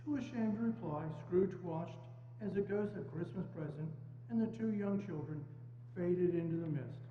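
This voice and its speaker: A man's voice: high-pitched, normal speed. Muffled, echoing male narrator. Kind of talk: scripted dramatic reading, narration. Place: large church hall, indoors. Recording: very muffled echoey church hall, humming noise.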